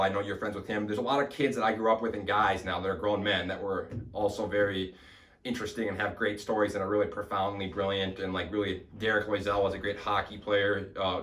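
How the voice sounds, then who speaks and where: A man's voice: fairly low pitch, roomy sound, far from the mic. Casting note: rambling young man hosting a podcast. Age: 20s. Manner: energetic, rambling, swears casually. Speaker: podcast host. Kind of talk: casual podcast chat. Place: small home studio.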